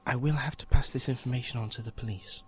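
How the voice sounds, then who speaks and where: An actor playing a calm, sympathetic man breaking bad news in an audio drama, gentle and matter-of-fact. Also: mid-range voice, fast, lightly acted.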